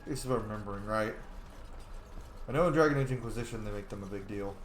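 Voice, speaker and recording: A man casually commenting on a role-playing video game as he plays, chatty and excitable, swearing freely at the game. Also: on the low side, fast, slight background noise. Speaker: gameplay commentator. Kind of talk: casual let's-play commentary. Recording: roomy echo with game music. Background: video game music and sound effects.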